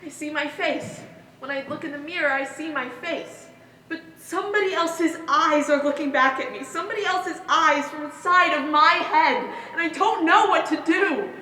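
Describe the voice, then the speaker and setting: A woman's voice: high voice, moderate pace, sharp tone. A woman playing an anguished, haunted young woman in a theatrical stage monologue. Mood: distraught. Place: stage in a hall. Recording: echoey stage hall, faint noise.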